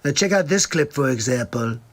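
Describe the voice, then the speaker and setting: A man's voice: mid-range voice, lively intonation, brisk, slight background noise. A man hosting a live comedy stream, mocking the clips he plays with sarcastic, incredulous asides. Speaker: livestream comedy host. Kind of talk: mocking commentary between clips.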